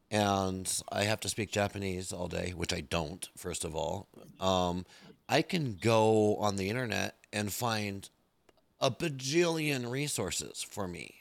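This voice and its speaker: Gravelly low-voiced American man. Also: dry recording. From United States. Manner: relaxed, friendly, colloquial. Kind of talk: casual interview chat.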